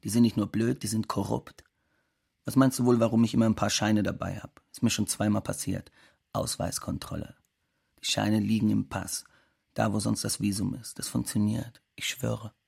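An actor playing a blunt, scornful undocumented immigrant man who curses in a radio play monologue, with a gravelly voice. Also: on the low side, fairly even intonation, moderate pace, background noise.

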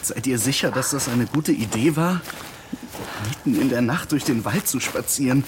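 A man's voice: mid-range voice, normal speed, background noise, natural voice. A man playing a timid, fretful boy detective who panics easily in a children's mystery audio drama. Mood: worried, grumbling.

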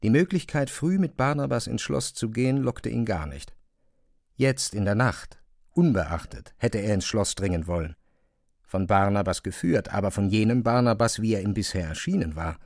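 A man's voice: lowish voice, expressive, normal speed, a little mellow, no room sound, studio quality. A man reading scripted literary narration as the narrator of a drama.

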